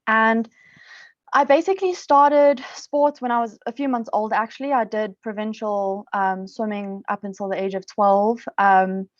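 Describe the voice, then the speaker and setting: An upbeat woman from South Africa chats as a podcast guest, filling her sentences with "you know" and "um." Her voice sits at an ordinary pitch, close and dry, with a slightly dull, lo-fi sound. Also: no room sound. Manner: chatty, upbeat, many fillers and restarts. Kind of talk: casual interview talk.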